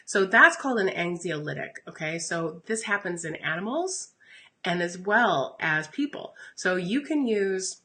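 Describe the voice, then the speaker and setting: A woman in her thirties talking casually on a live stream, her voice a little raspy and congested from a recent illness, addressing her viewers directly. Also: lowish voice, expressive, measured pace, pushed and bright. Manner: chatty and upbeat, addresses viewers directly with "you guys" and "okay?". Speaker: essential oils educator streaming live. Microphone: phone or webcam for live stream.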